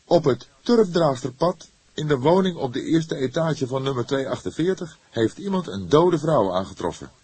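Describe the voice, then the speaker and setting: Man doing an agitated, high, loud desk sergeant. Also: lively intonation, moderate pace, slightly rough, no room sound. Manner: urgent, emphatic. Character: agitated male police desk sergeant with urgent news.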